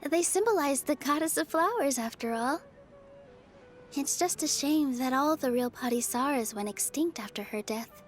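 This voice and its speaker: A woman voicing a cheerful, earnest young female dancer in a video game, expressive and eager. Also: sits high, normal speed. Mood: wistful, hopeful. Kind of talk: video game character acting.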